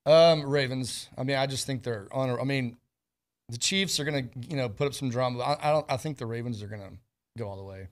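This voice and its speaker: A man talking as a guest on a sports radio show, casual and halting but enthusiastic. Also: mid-range voice.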